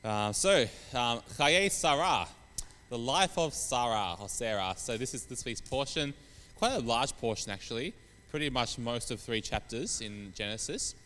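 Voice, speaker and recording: A man with a croaky voice from hay fever, giving a relaxed sermon with many pauses and fillers. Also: mid-range voice, in a large hall, slight background noise. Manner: rambling, many fillers and restarts. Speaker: preacher. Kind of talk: informal sermon on scripture.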